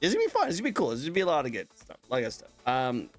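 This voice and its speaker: A man's voice: on the high side, wildly swooping pitch, rapid-fire. Male host, casual and upbeat, repeats himself, plays along with jokes, faint music behind. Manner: upbeat, repeats phrases, playful. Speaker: talk show host. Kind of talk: casual interview chat.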